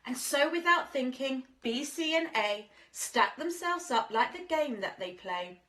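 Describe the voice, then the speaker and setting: Woman storyteller, high voice, very lively intonation, children's picture-book reading, dramatic delivery. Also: measured pace. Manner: dramatic, addressing young listeners with questions. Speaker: infant school teacher reading stories to children.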